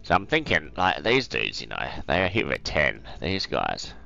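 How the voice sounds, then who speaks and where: Croaky low-voiced man thinking aloud. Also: big pitch swings, measured pace, sharp tone, dull audio, faint music behind. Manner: rambling, thinking aloud with many ums. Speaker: let's play host. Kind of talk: casual game commentary.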